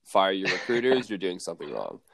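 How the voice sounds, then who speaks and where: A young man hosting a casual sports interview podcast, friendly and halting, with many fillers. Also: low-pitched, big pitch swings, creaky, fairly bright tone, no room sound. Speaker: podcast host.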